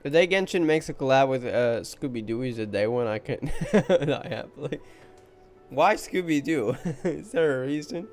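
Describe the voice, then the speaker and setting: A man's voice: mid-range voice, lively intonation, normal speed, darkish tone, faint music behind. A man streaming a game playthrough, chatting casually with viewers and teasingly talking back to the characters.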